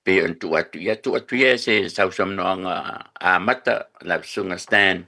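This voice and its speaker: A man hosting a radio show introduces his guest in a steady, formal way. His voice is low, with a bright, forward tone. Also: normal speed, vocal fry. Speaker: radio host.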